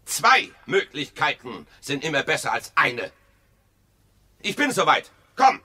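An actor playing an insistent, mistrustful husband in a German radio play, speaking in clipped, deliberate phrases with a husky voice. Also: male voice, fairly high pitch, big pitch swings, pushed and bright, heavily acted. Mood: urgent, insistent. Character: insistent, mistrustful husband exploring underground with his wife.

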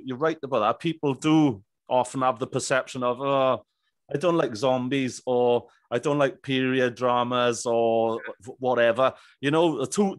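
A man's voice: mid-range voice, dry recording. Man with a dry, close sound. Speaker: podcast host. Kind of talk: casual interview chat. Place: small indoor room, on video call. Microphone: studio microphone on boom arm.

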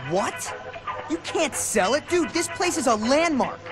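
A man playing a brash, excitable young skater in a video game cutscene. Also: very high voice, unhurried, music in the background, full character voice. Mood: indignant.

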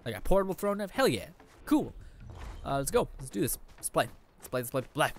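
An eighteen-year-old man giving rambling, casual let's play commentary, his voice a little raspy after eating. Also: fairly high pitch, big pitch swings, fairly quick. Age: teens. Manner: rambling, chatty, lots of fillers. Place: indoor room.

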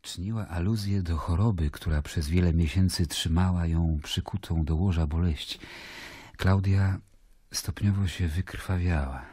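An actor playing a weary, troubled Roman governor, narrating in a measured, deliberate way in a radio play. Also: male voice, sits low, warm tone, husky, no echo, clean studio sound. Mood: troubled, reflective. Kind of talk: dramatic first-person narration in a radio play.

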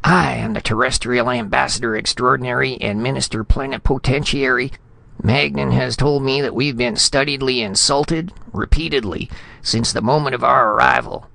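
A man's voice: medium pitch, lively intonation, normal speed, vocal fry, bright, forceful tone, dry recording, pitched above the performer's own voice, full character voice. A man voicing a pompous, choleric senior ambassador in a storybook reading, blustering and sputtering in formal phrases. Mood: outraged.

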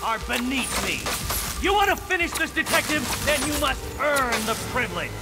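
A pompous, shrieking-high genius villain. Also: male voice, big pitch swings, normal speed, heavily acted. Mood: furious, taunting. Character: arrogant, unhinged genius villain obsessed with riddles, petulant and bombastic. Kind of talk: video game villain acting. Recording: loud music and effects behind.

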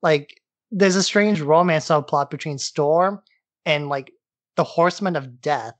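A man's voice: sits high, dry recording. A man co-hosting a podcast in a casual discussion, talking in loose, rambling explanations. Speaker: podcast co-host.

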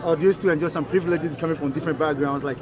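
Man from Ghana, street interviewer, eager and quick, slightly high voice, handheld mic. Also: fairly even intonation. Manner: eager, enthusiastic questioning. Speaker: street interviewer, channel host. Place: busy city square outdoors. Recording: busy street crowd behind.

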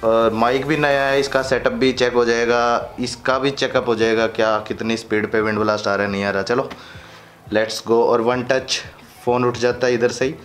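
A man's voice: mid-range voice, fairly even intonation, moderate pace, fairly bright tone. Casual man vlogging, music behind. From India. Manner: colloquial, chatty. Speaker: motorcycle vlogger. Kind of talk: casual vlog chat.

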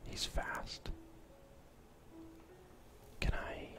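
A young man whispers his way through a video game as an ASMR streamer. His voice is breathy and almost fully unvoiced. He murmurs to himself in short remarks, recorded indoors at home. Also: extremely slow, loud music behind the voice. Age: young adult. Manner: murmuring, talking to himself as he plays. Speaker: gaming streamer.

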